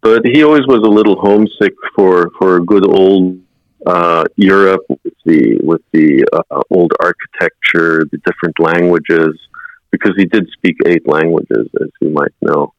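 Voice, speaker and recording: A man of sixty reminisces as an interview guest, telling anecdotes in an unforced conversational style. His voice sits low and has a gravelly fry. The sound is dry and a little dull, like radio. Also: unhurried, no room sound. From born in United States, moved to Germany as a child.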